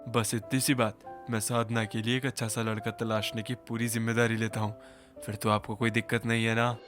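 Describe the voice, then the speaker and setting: A man's voice: fairly low pitch, flat delivery, a little fast, fairly bright tone, soft background music, close to an everyday voice. A man voicing a modest, honourable young uncle in a cartoon story, earnest and level. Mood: reassuring. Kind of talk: Hindi cartoon story voice acting.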